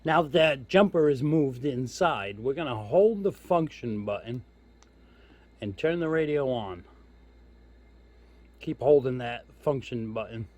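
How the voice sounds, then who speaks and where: A man's voice: medium pitch, expressive, slow, long pauses, darkish tone. A man giving a casual hands-on product demo, explaining step by step in an informal, folksy way. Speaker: host of a radio gear review channel.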